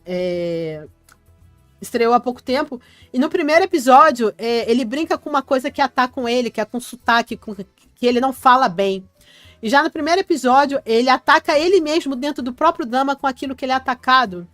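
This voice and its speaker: A woman's voice: fairly high pitch, a bit bright, no echo. A woman hosting a casual live stream, reading viewers' comments aloud and answering them in a chatty way.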